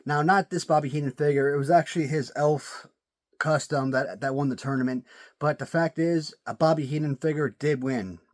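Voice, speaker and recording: A man's voice: on the high side, normal speed. A man giving casual video commentary on his action figures, chatty and prone to restarting words, his voice sore and cracking. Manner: chatty, repeats words while thinking. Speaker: toy collector reviewing action figures.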